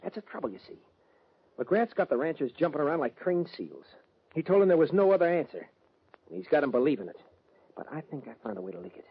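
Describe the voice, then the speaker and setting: A man's voice: a little high, sing-song, quick pace, husky, exaggerated voice. An actor playing a stubborn, earnest young cattleman in a radio western, plain-spoken, with an American accent. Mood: determined. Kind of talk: old-time radio western acting. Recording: dull, noisy vintage radio recording.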